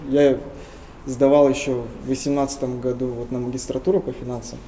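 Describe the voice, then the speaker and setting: A young man from Tuva in Russia, answering interview questions earnestly and often pausing with hesitation sounds. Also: mid-range voice, flat delivery, warm tone, slight background noise, rough recording. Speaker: interview guest.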